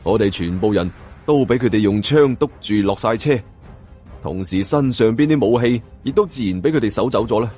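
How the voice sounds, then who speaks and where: A man's voice: on the low side, animated, brisk, mellow, breathy edge, soft background music. A man with a Hong Kong Cantonese accent narrating a suspense novel as a dramatic audiobook storyteller.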